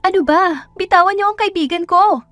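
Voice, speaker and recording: Woman acting a bossy, high-voiced best friend. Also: fairly quick, fairly bright tone, no room sound, faint music behind. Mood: angry protest. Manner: pushy, exclamatory. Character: bossy, bubbly young woman, the heroine's loyal best friend.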